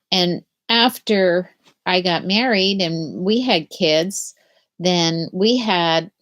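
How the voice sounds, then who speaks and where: A middle-aged woman hosting a live picture-book read-aloud, chatting casually and haltingly with young listeners and putting gentle questions to them. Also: lowish voice.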